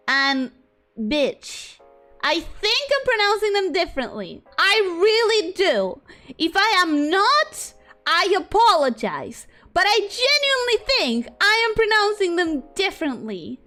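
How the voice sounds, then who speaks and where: A young woman streamer chatting casually with viewers, voice swinging from high squeals down to low mutters. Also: bright, forceful tone. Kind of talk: casual chat reading viewer messages.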